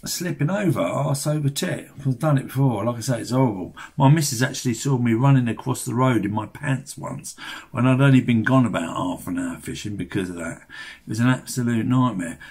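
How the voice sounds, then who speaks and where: Casting: English male, 60s, gravel-edged husky voice, rambling casual vlogger with a blokey, colloquial delivery. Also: medium pitch, a little mellow. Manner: chatty, rambling, mildly sweary, colloquial. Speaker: fishing vlogger. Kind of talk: casual vlog narration.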